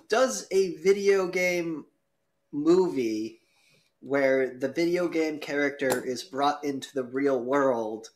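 A man casually moderating a podcast debate, putting questions in a halting, sweary way. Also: a little high.

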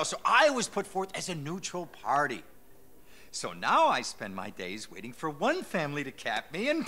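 An actor playing a panicky, self-pitying, foul-mouthed mob lawyer in a game, frantic, stammering and shrill. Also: male voice, pushed and bright, heavily acted. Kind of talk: video game cutscene acting.

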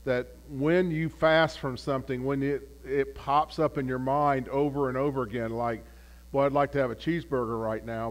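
A man leading a Bible study as pastor, phlegmy and clearing his throat, halting with many repeated words and fillers. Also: mid-range voice. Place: church. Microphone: camera.

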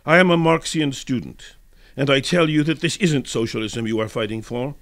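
Guy doing a snooty scornful young student man, voice pitched up, dramatic reading. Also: moderate pace, fairly bright tone, close to an everyday voice. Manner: haughty, lecturing. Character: supercilious young male student, insolent and scornful.

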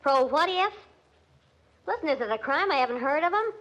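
A woman's voice: high voice, lively intonation, fairly quick, a bit bright. An actress playing a brash young jazz-mad American shop girl, sassy and slangy, in a 1940s radio drama. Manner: slangy, sassy, jive-talking. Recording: dull, band-limited old radio recording.

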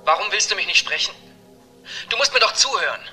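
A man's voice: high voice, lively intonation, fast, exaggerated voice. A man playing an agitated young nephew, urgent and accusing, heard through an answering machine. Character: agitated young nephew threatening to expose a crime. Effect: answering-machine playback. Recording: sharp answering-machine tape, faint music.

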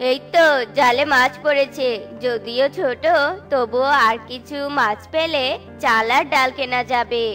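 A woman's voice: high-pitched, measured pace, fairly bright tone, soft background music. A woman voicing a poor, timid father bird in a children's cartoon, with a plaintive, humble manner. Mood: hopeful. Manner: plaintive, pleading.

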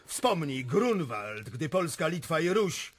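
Proud Polish nobleman, radio drama, slightly high husky voice, scornful. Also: big pitch swings, slow, full character voice. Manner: scornful, pointed.

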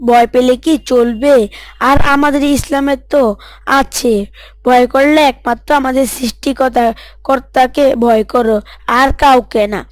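Woman as an earnest, high-voiced devout schoolboy. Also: fairly even intonation, a little slow, dry recording. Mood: firm, encouraging. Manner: earnest, preachy, polite. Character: devout, earnest schoolboy Abu Rayhan, bold and principled.

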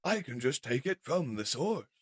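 A man's voice: mid-range voice, very animated, moderate pace, a bit bright, breathy edge, pitched above the performer's own voice. A man voicing a cold, imperious villain robot scientist in a pompous, menacing, formal manner, as in a comic-book story. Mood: cold menace.

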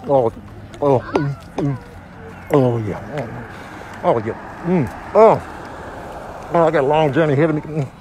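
A man improvising comic voiceover in an exclamatory, slangy manner with drawn-out interjections. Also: mid-range voice, a little mellow. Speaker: comedian doing animal voiceovers. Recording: clear background noise.

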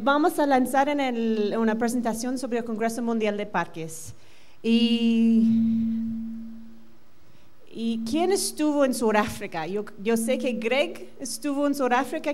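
A woman's voice: fairly high pitch, a little mellow. American woman speaking Spanish at a conference. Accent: American English. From United States. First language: English. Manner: quick, with many fillers and restarts. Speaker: conference presenter. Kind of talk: conference talk. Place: large hotel conference room. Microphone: handheld microphone.

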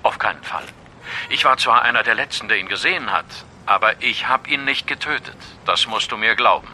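An actor playing a mysterious, commanding informant in a German audio drama, cryptic and insistent. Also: male voice, fairly low pitch, brisk, pushed and bright, slight background noise. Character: mysterious, commanding male informant giving warnings.

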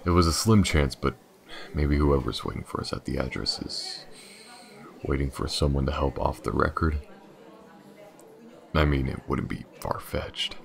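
A gruff detective in an audio roleplay, acted by a man, with an extremely low voice full of fry. He is wary and dryly sardonic, muttering his way through halting thoughts. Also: full character voice. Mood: wary, earnest. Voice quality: gravelly.

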